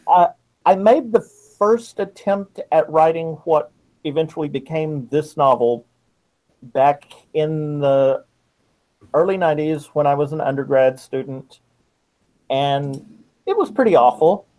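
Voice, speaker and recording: A middle-aged man speaks as an interviewed author, taking his time. His voice sits a little high for a man, and his delivery is measured and reflective. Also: darkish tone, poor audio. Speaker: guest author. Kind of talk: interview answers about writing.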